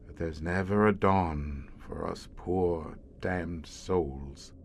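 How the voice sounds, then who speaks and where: A man's voice: sits low, slight background noise. An actor playing a haunted older police inspector in a radio horror drama, brooding and theatrical in his delivery. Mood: bleak, resigned. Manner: slow, brooding, grandiose and theatrical. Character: haunted, doomed older male tunnel police inspector, slowly turning monstrous.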